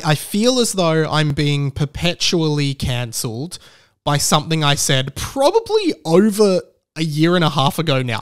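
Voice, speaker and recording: An Australian man hosting a casual solo podcast, chatting in a rambling, self-interrupting way with emphatic repetition. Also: a little high. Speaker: podcast host.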